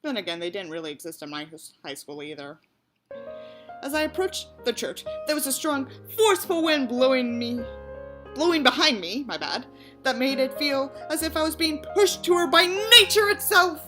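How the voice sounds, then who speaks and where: A woman narrates a story in a high, bright voice. Her delivery is very animated, and she is openly sarcastic about what she reads, swearing freely. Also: moderate pace, soft background music. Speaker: audiobook reader and commentator. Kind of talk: dramatic narration with sarcastic asides.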